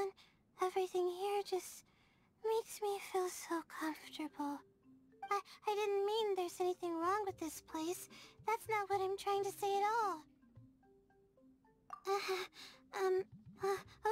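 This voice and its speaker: A woman voicing an anxious, sleep-deprived young student in a video game, sweet and timid, with a hesitant, stammering delivery. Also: very high voice. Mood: shy, flustered. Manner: hesitant, stammering, self-doubting. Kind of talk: video game character dialogue. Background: soft game music.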